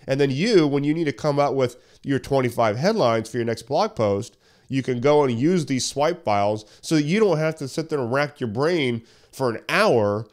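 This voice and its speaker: A man hosting a solo podcast tutorial, talking conversationally and a little hoarse while getting over a cough, walking listeners through tips step by step. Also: medium pitch, animated, normal speed. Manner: conversational, explains step by step with questions. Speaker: podcast host. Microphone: studio podcast mic.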